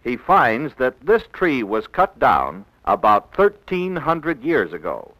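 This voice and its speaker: An American man narrating a 1960s educational film in a measured, didactic, clearly enunciated way, on an old film soundtrack. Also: mid-range voice, wildly swooping pitch, bright, forceful tone, no room sound, poor audio.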